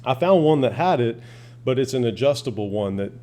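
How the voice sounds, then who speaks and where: A plain-spoken man walks viewers through his hobby equipment. His voice sits at a middle pitch. He talks casually and wanders from point to point like an amateur reviewer. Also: animated, brisk, a little mellow. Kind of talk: casual product demonstration.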